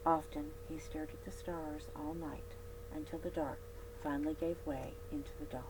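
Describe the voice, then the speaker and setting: A woman with a low voice reads a children's story aloud for a library. Her phrasing is expressive, with emphatic stresses on key words. A clear, steady hum and noise sit behind her. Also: normal speed. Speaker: library read-aloud host. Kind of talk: scripted storybook narration.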